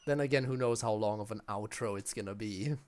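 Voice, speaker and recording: A man's voice: fairly low pitch, brisk. A man giving casual, wry, informal live commentary as a game streamer.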